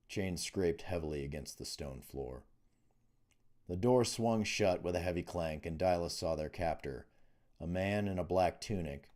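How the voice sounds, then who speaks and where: A man's voice: deep voice, darkish tone. A man reading fantasy fiction aloud as an author narrating his own audiobook.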